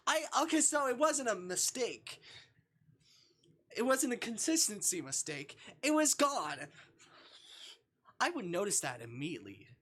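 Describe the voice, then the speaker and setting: A playful, expressive streamer reading a visual novel aloud and reacting live, acting out the dialogue. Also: medium pitch, a little slow, pauses often. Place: live stream with game music behind.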